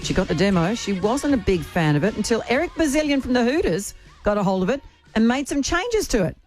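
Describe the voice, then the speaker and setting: A woman's voice: a little high, sing-song, fairly quick, a little mellow. An Australian woman presenting on commercial radio in a chatty style. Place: radio studio. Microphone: close studio microphone. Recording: music bed behind voice.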